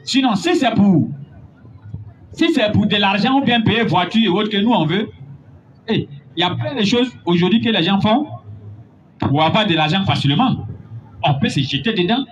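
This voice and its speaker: A man preaching a sermon, building to emphatic, drawn-out points. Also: high voice, lively intonation. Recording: dull lo-fi recording with faint noise.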